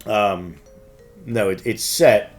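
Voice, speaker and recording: A man's voice: lowish voice, slow pace, a little mellow, soft background music. A man co-hosting a casual news show, bantering with a hesitant delivery and playful outbursts. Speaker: co-host of a game store news show.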